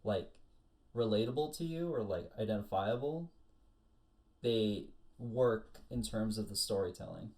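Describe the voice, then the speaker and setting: Woman w a low voice co-hosting, just chatting casually. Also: animated, unhurried, a little mellow. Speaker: podcast co-host. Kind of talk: casual chat.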